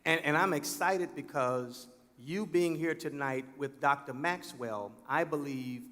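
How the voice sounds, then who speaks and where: Man, pastor-host, slightly high voice, measured preacher cadence, reverberant hall sound. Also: a bit bright. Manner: measured, preacherly phrasing with fillers. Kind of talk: church panel interview.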